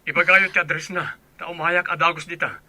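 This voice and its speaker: A very sharp, high male voice of an agitated young soldier. He has a Filipino accent and sounds protective, in radio drama style with a dry sound. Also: little pitch movement, brisk, no room sound. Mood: alarmed. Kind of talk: Philippine radio drama acting.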